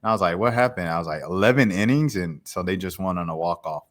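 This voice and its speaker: A man's voice: sits low, lively intonation, fast talker. A young man hosting a podcast in casual, easygoing chat full of slang. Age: young adult. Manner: easygoing, chuckling, drifts into slang. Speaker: podcast host.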